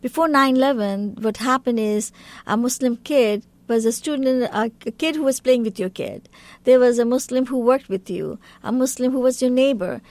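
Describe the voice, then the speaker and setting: A woman's voice: on the high side, normal speed, a little mellow, no room sound. A woman from Bangladesh with a Bangladeshi accent, a guest on a talk show, speaking earnestly and at length with loose grammar and many fillers. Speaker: guest, community council vice president. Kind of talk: interview.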